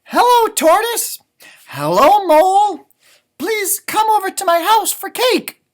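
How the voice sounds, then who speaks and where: A man voicing an anxious, confused storybook rabbit in a fretful, hesitant, drawn-out way. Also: very high-pitched, very animated, pitched above the performer's own voice, full character voice. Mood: hopeful.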